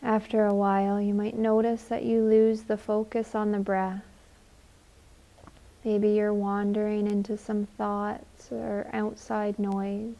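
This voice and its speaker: A woman gives gentle guided meditation instructions at a very unhurried pace. Her tone is mellow and relaxed, with little melodic movement. She leaves long, soothing pauses between phrases. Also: medium pitch.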